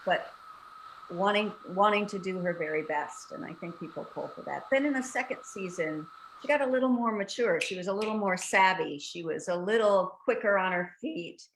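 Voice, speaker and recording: An older woman recounts memories as an interview guest, her voice sitting at an ordinary middle pitch. She tells her stories in a lively, animated way and laughs often. She is heard over a video call. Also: moderate pace, a little hiss or hum, low-quality recording. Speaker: guest actress. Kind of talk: casual interview chat.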